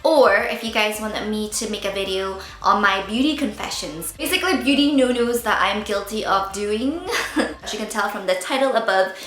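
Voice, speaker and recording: A woman's voice: mid-range voice, normal speed, fairly bright tone, roomy sound, soft background music. Chatty Northern Irish woman vlogger. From Northern Ireland. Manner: chatty, candid, jokey, trips over words. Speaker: beauty vlogger. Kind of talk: casual chat to camera.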